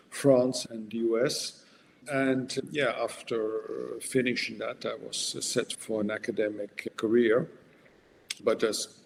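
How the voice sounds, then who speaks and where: A man, a podcast guest who speaks in a measured way and pauses often with "uh". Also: fairly low pitch, vocal fry. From Netherlands. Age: 60s. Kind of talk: podcast interview. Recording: long reverb, faint background noise.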